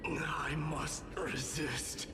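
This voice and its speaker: Grim warrior lord, proud and breathy, deliberate fierce declamation, loud battle music and effects. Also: male voice, a little high, steady tone. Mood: grim, resolute.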